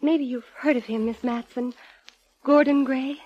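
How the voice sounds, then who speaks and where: An actress playing an anxious, halting, earnest woman seeking help for her brother in a 1950s radio drama. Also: high-pitched, fairly even intonation. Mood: hesitant. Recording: dull vintage radio recording.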